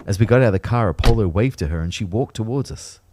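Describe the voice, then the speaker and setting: A man's voice: fairly low pitch, lively intonation, quick pace, noisy background, natural voice. An Australian man playing an exasperated young ghost who narrates his own audio drama. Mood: wry narrating. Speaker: actor narrating and playing the lead. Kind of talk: first-person audio drama narration and dialogue.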